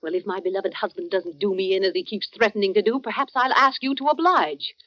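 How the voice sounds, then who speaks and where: An actress playing a glamorous, witty, world-weary stage star with an arch, theatrical manner in a 1940s radio drama. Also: female voice, mid-range voice, fast, natural voice. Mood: brazen, cutting. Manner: theatrical, arch, drawling endearments. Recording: muffled old radio broadcast recording.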